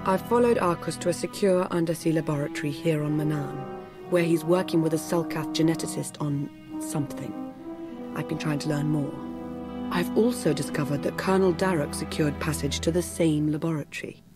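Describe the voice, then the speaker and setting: A woman playing a composed, secretive and commanding agent in a video game, formal and measured. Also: deep voice, steady tone, over loud music, close to an everyday voice. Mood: secretive, grave. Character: composed, secretive female dark-order agent, commanding and grave.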